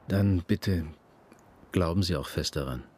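An actor playing a calm, experienced police detective in a radio crime drama, speaking politely, deliberately and with reassurance. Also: male voice, sits low. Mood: deliberate, considerate.

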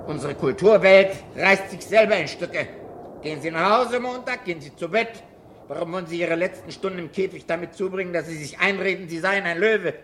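An actor playing a fearful, sardonic old professor in a German radio drama, speaking in eloquent, theatrical declamation. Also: male voice, sits high, a little fast, fairly bright tone, slight background noise. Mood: agitated, scornful. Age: older.

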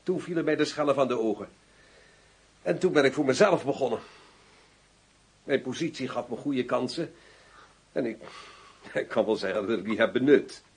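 An actor playing a cynical, smoothly menacing ex-general turned arms dealer in a radio drama, husky and formal in his delivery. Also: medium pitch, moderate pace, pauses often. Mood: disdainful.

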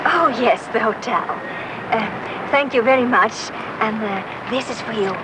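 Loud traffic noise surrounds a polite female tourist, played by an actress in a radio play. She speaks slowly and deliberately in a fairly high voice, grateful and slightly hesitant. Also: lightly acted. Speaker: actor. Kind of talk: radio drama acting. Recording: very noisy radio recording, traffic behind.

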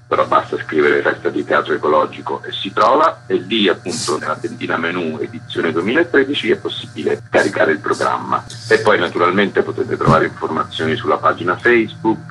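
A man's voice: low voice, pushed and bright, poor audio. A man, an interview guest giving festival information in an informational style.

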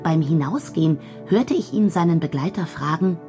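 An actress playing an observant woman of 1910s bohemia, reading her letters in a measured, dryly amused way for a radio drama. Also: deep voice, expressive, warm tone, faint music behind. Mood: wry, observant.

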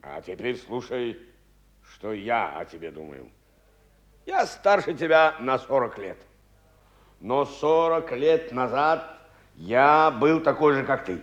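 A man's voice: medium pitch, expressive, slow, pauses often, a bit bright, highs cut off. An actor playing an older man, playful and insistent, in emphatic stage dialogue with a younger friend. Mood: mock serious.

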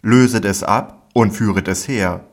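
A man voicing a calm, authoritative male teacher in a scripture reading, solemn and measured. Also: lowish voice.

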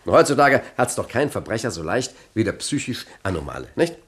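A cunning master criminal in a comic radio play. He rattles along quickly in a fairly low voice, nonchalant and sure of himself. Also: big pitch swings, a bit bright. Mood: breezy. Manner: nonchalant, chatty, self-assured. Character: breezy, cunning master criminal of the twenties, gang boss.

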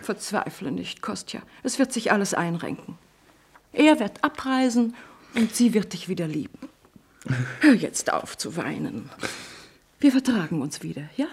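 Woman acting vain mother, breathy, lively pitch, emotional. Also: moderate pace. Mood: soothing. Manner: emotional, sharp in anger, soothing when gentle. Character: vain middle-aged actress mother, proud and volatile. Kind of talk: stage drama acting.

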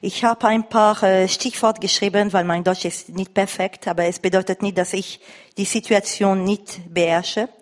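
A woman from France with a foreign accent, speaking from the floor haltingly and insistently as she searches for words. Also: mid-range voice, steady tone. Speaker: audience member at a lecture. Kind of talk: spontaneous comment from the floor. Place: reverberant lecture room or hall. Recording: echoey hall recording of live talk.

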